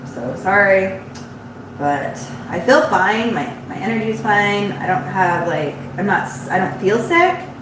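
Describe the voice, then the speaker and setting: A woman's voice: mid-range voice, very animated, unhurried, far from the mic. A woman running an embroidery shop chats casually on a live stream while she sews. She sounds congested and rambles, restarting her sentences often. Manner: chatty and rambling, with frequent restarts. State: congested, runny nose. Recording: very echoey room, voice off-mic, audible background hum.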